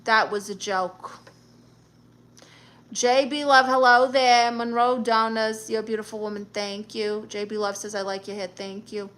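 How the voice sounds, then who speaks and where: A woman's voice: mid-range voice, fairly even intonation, measured pace, fairly bright tone. A woman with a New York accent hosting a live stream, reading viewer comments aloud in a brash, sassy, joking way. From Brooklyn. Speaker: livestream host.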